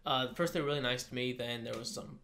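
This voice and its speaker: A man's voice: mid-range voice, fairly even intonation, fast talker, noisy background. A man speaking as a podcast guest, telling personal stories casually and often backtracking to rephrase. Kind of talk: casual chat, personal storytelling.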